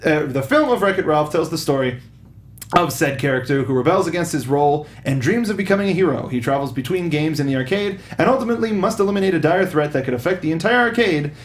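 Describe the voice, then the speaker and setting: A man's voice: a little high, animated, fast. A man hosting a film review show in casual panel chat, talking like an earnest enthusiast.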